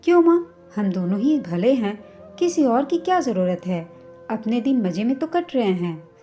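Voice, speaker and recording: A woman's voice: fairly high pitch, big pitch swings, moderate pace, dark tone, muffled recording, faint music behind. A woman voicing an easygoing young adult son in a told story, breezy and persuasive as he reasons. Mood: breezy, coaxing.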